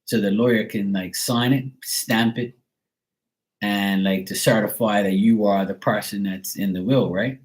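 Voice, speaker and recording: A man's voice: on the low side, steady tone, a little slow. A man from Bermuda chatting casually on a live stream, telling rambling everyday stories with lots of fillers. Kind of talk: casual chat.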